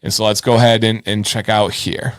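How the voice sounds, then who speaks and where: A man's voice: on the low side, little pitch movement, a little fast, dry recording. Casual, encouraging man hosting a live show. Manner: encouraging, informal, thinks aloud with repeats. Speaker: host of a live beard advice show. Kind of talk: casual live show chat, reading viewer messages and giving advice.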